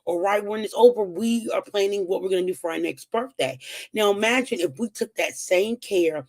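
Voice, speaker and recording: A woman hosting a live whiteboard lesson on finances, her voice rough and strained as it comes back after being lost. She talks in a relaxed, chatty way, pausing on "um"s and nudging viewers along with upbeat encouragement. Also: medium pitch. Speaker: financial educator hosting a weekly livestream. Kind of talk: casual live-stream teaching at a whiteboard.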